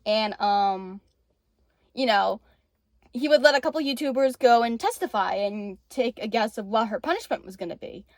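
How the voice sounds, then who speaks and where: A young woman voicing her plush characters in casual chat, halting with fillers and restarts. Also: on the high side, lively intonation, no echo, studio quality.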